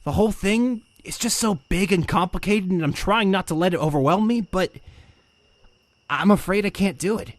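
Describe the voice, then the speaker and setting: A man's voice: high voice, moderate pace, lightly acted. A man playing a brainy, anxious young mutant turtle in an audio comic drama, casual and thoughtful. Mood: worried.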